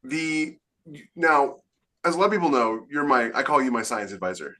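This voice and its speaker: Male podcast host, casual interview delivery, very bright and forward tone. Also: medium pitch, animated, normal speed.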